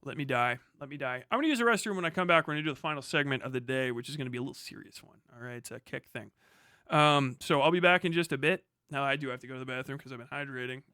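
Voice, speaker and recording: A man's voice: mid-range voice, rapid-fire, bright, forceful tone, smooth, dry recording, clean studio sound. A man hosting a casual livestream, talking in a blunt, profane, mocking way. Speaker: livestream host and podcaster. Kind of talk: casual livestream rant and commentary.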